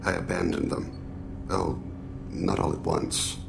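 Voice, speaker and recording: An actor playing a solemn, regretful alien assassin and father in a video game, speaking in measured, formal, introspective phrases with a gravelly voice. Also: steady tone, heavily acted. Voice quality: very deep, heavily gravelly. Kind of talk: video game dramatic dialogue.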